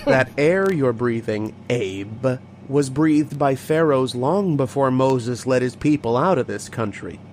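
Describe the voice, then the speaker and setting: A man playing a grave, haunted archaeologist in old-time radio horror, with dramatic, deliberate delivery. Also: medium pitch, animated, darkish tone, a little hiss or hum, natural voice. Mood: matter-of-fact.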